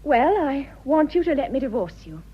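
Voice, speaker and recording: A woman's voice: high-pitched, sing-song, moderate pace, lo-fi sound. An actress playing a frank, resolute upper-class wife in her twenties in a period radio drama, with a British RP accent and an earnest, composed delivery. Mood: firm. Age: 20s.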